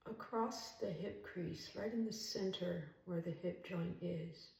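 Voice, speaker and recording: Woman doing a calm body scan meditation, really slow n soothing, kinda echoey room, sounds a bit far from the mic. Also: on the low side, gravelly. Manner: calm, measured, soothing, with long pauses. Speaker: yoga meditation instructor. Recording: roomy echo, distant from mic.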